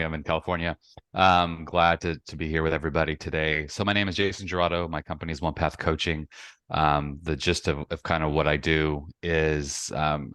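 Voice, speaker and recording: A man's voice: extremely low pitch, fairly bright tone, no echo, studio quality. A man in his forties, a coach, introducing himself steadily with a few stumbles. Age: 40s.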